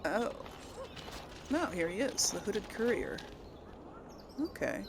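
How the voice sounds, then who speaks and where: A woman's voice: mid-range voice, sing-song, slow, noisy background. A woman giving casual let's play commentary as host of a gaming video, chatty and thinking aloud as she goes.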